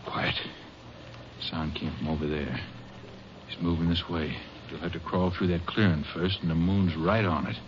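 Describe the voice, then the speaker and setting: Low-voiced ruthless smuggler played by a man, old radio. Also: steady tone, normal speed, lightly acted. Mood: wary, menacing. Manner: curt, bossy, impatient. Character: ruthless gruff male drug smuggler with a gun. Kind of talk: 1950s radio drama acting. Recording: noisy old radio recording, dull sound.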